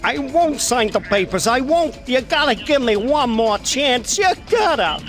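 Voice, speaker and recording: A man's voice: extremely high pitch, very animated, unhurried, fairly bright tone, faint music behind, full character voice. An actor playing a desperate, washed-up small-time showman in a video game, nervous and wheedling. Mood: desperate pleading. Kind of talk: video game cutscene acting.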